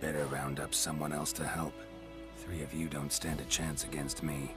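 An actor playing a gruff, stoic monster hunter in a video game, terse and deadpan. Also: male voice, rumbling low, moderate pace. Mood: dry, menacing. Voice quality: gravelly, hushed. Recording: music behind the voice.

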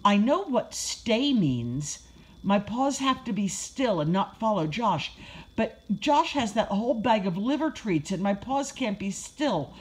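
Woman voicing an excitable puppy, swooping and lively. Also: medium pitch, unhurried, slightly rough, rough recording. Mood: happy, earnest. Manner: gushing, exclamatory, childlike. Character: excitable, food-crazed young male puppy telling his own story. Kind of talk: first-person children's storybook narration.

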